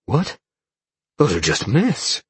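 A man voicing an incredulous, exclaiming male captive in a fantasy story, with a husky voice. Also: mid-range voice, wildly swooping pitch, takes it slowly, no room sound, voice pushed up, full character voice. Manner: exclaiming, disbelieving.

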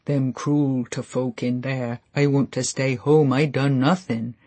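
A man's voice: mid-range voice, fairly even intonation, darkish tone, close to an everyday voice. A man doing a timid rustic man. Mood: frightened. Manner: timid, halting, plain-spoken. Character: frightened simple-minded rustic man.

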